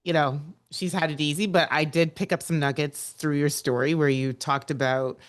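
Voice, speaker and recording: A woman's voice: deep voice, steady tone, moderate pace. A woman hosting a podcast interview, effusive and affirming, with many "yeah" and "mm" sounds. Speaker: podcast host.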